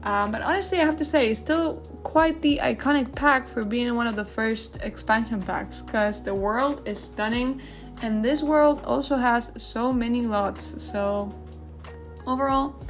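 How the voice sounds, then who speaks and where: A woman whose first language is Spanish, giving casual, chatty gaming commentary in an informal way, sometimes searching for her English words. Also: a little high. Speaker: gaming video creator. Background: background music.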